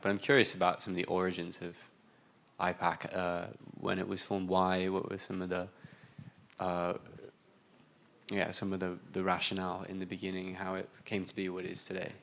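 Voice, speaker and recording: A man's voice: low-pitched, flat delivery, brisk, gravelly, a bit bright, a little hiss or hum. A man from the audience asking rambling, hesitant questions at a conference. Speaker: audience member. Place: conference room.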